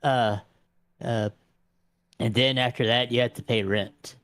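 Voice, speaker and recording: A man's voice: mid-range voice, steady tone, unhurried, a bit bright, dry recording, voice pushed down, lightly acted. A man voicing a courtly, pious vampire in a tabletop game, prudish around ladies, with hesitant, stammering, old-fashioned politeness. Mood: obliging. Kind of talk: improvised tabletop roleplay.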